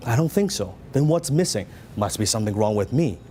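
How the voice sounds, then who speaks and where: A man's voice: medium pitch, lively intonation, brisk, dark tone, a little hiss or hum. A middle-aged man from Hong Kong with a Hong Kong Cantonese accent, giving a motivational seminar talk and telling his story with lots of questions to the audience. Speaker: business speaker.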